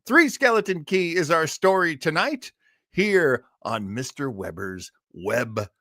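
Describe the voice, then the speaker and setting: A man's voice: on the high side, sing-song, slow, sharp tone, no echo, clean studio sound. A man hosting an old-time radio show, chatting casually and enthusiastically as he introduces a story in a rambling way.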